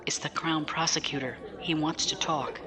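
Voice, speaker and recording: Woman acting tough navy defense lawyer lady, low voice, blunt and dry, no patience, busy chatter behind her. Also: fairly even intonation, normal speed, natural voice. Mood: frank, businesslike. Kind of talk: audio drama acting.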